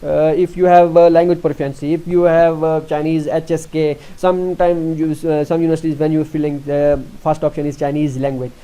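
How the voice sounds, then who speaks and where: A young man from Pakistan with a Pakistani accent, explaining an online form step by step, halting often with fillers and restarts. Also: fairly high pitch, flat delivery, warm tone, poor audio. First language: Urdu. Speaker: tutorial presenter. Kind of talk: screen-recorded walkthrough.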